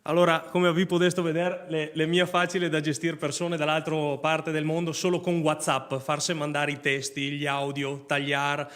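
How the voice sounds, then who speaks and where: A man with a Venetian accent and a somewhat high voice presents informally. He is chatty and wanders from one point to the next as a creator showing his own project. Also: completely flat delivery, moderate pace, few pauses, a bit bright.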